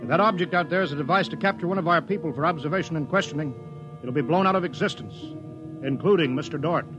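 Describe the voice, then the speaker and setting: An actor playing a cautious, stern starship captain in a 1950s radio drama, giving clipped orders with dry, wary humor. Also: male voice, a little high, fast talker, over music, close to an everyday voice. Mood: commanding. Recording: dull lo-fi old radio broadcast.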